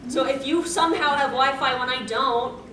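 A woman's voice: high-pitched, moderate pace. A young woman hosting a panel in a casual, giggly, hesitant way, speaking to an audience. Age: young adult. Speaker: panel host. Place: convention meeting room, on a stage. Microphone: distant camera microphone. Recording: distant, echoey, noisy camera recording.

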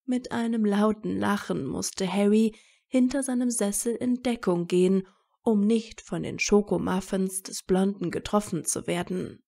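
A woman's voice: mid-range voice, unhurried, gravelly, mellow. A woman reading scripted story narration as the narrator of an audio fiction.

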